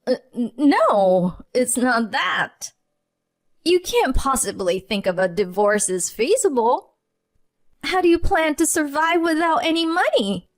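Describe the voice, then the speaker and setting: A woman narrator voicing a spoiled, scheming mother-in-law in a spoken story, speaking slowly and whining. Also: mid-range voice, very animated. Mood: whiny.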